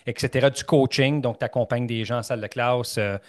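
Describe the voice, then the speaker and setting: Voix d'homme au timbre moyen, ontarien, animateur jovial et causant. Enregistrement sec, sans écho de pièce, qui donne une présence proche et directe. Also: male voice, mid-range voice, fairly even intonation, brisk, no echo, clean studio sound. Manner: chatty, upbeat, many fillers and restarts. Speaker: podcast host. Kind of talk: casual interview chat.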